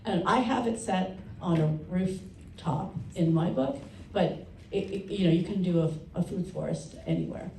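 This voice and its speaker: Creaky, mellow woman author on a panel. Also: on the low side, big pitch swings, normal speed. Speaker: panelist, children's book author. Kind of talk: explaining to an audience at a panel. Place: panel session in a room. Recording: roomy, distant mic, faint noise.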